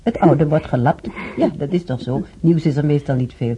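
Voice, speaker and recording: A woman's voice: low-pitched, normal speed, highs cut off, close to an everyday voice. An actress playing a chatty, wistful old woman who muses aloud and rambles in a radio drama. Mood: resigned, chatty. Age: older. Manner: rambling, musing aloud, repeating herself. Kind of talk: radio drama monologue and dialogue. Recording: dark, slightly noisy radio drama recording.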